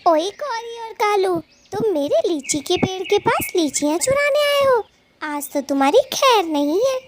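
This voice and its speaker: A woman voicing a caring but feisty little female bird in a children's cartoon, earnest and expressive. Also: extremely high pitch, measured pace, heavy background noise, exaggerated voice. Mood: scolding. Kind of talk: children's cartoon story acting.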